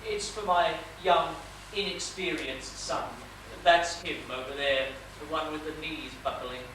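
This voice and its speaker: Guy playing fussy soft-hearted father in a stage comedy, projecting politely, big echo, noisy recording. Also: fairly high pitch, fairly even intonation, measured pace, natural voice. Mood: polite haggling. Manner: courteous, florid, theatrically projected delivery. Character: fussy, haggling, tender-hearted middle-aged Russian father. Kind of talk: live comedic stage play acting. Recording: echoey theatre stage, distant mic, noisy.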